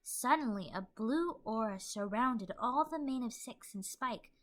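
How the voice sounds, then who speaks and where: A woman's voice: on the high side, animated, measured pace. A woman giving scripted storybook narration as the narrator of a fan fiction audio play.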